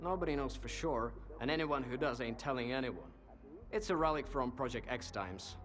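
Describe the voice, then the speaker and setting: A man's voice: medium pitch, normal speed, a crowd in the background, natural voice. An actor playing a cynical, worldly male informant in a video game, talkative and sardonic, with an insinuating conversational manner. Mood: scornful. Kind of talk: video game dialogue acting.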